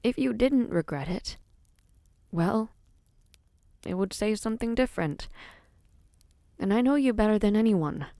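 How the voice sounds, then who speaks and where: A woman playing a gentle, protective young prince in a dramatic audio roleplay, speaking in a soothing, earnest manner. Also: mid-range voice, animated, unhurried, gravelly, long pauses, no echo, studio quality. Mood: earnest, reassuring.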